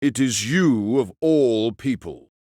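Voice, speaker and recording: A man's voice: medium pitch, expressive, takes it slowly, dark tone, no room sound, studio quality. A man playing a resentful mage lecturer villain in an audio drama, mocking and malicious, drawing out his words. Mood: menacing.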